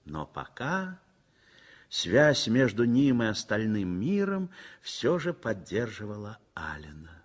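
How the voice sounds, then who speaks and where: Husky male radio-play narrator, lively delivery. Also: on the low side. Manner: measured, expressive storytelling. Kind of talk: scripted literary narration, 1960s radio theatre.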